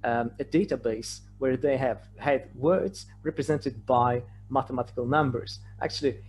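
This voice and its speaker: A man from Poland with a Polish accent, a native Polish speaker, presenting slides in a halting way with many repeated words. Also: mid-range voice, darkish tone. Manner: hesitant, repeats words and stammers over phrase starts. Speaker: conference presenter. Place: remote online presentation with shared screen. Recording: clearly noisy online talk with hum.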